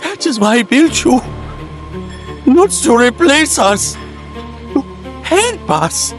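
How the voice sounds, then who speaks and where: A man's voice: very high voice, voice pushed up, exaggerated voice. A man playing a desperate, imploring Victorian inventor in a dramatic horror story, pleading with his creation.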